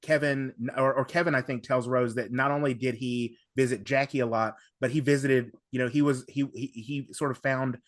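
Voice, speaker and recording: A man talks through his analysis as a casual podcast guest. He is wordy and halting, doubling back to reword his points. His pitch is average and the sound is dry and close. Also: fairly even intonation, no echo.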